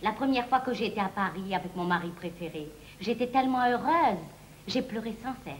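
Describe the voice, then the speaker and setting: A woman's voice: medium pitch, expressive, a little fast, slight background noise, natural voice, rough recording. An actress playing a worldly, consoling neighbourhood woman, matter-of-fact and colloquial in a comic drama. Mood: wry, consoling.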